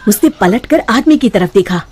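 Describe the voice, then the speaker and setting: A woman's voice: mid-range voice, animated, moderate pace, raised voice, background noise. A woman narrating a scripted cartoon story.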